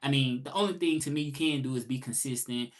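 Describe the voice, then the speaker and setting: A man's voice: medium pitch, fast. A man in his thirties with a Southern US accent, talking things through in a reflective live monologue and repeating key phrases for emphasis. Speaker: livestream host.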